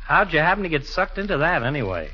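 A gossipy, wisecracking working-class American barber. His voice sits a little high and is full of folksy slang, on a muffled 1940s radio comedy recording. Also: sing-song, quick pace.

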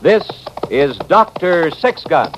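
A man announcing a 1950s radio western in a grand, emphatic, dramatic style with drawn-out key words. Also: on the high side, very animated. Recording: dull old radio recording, loud music.

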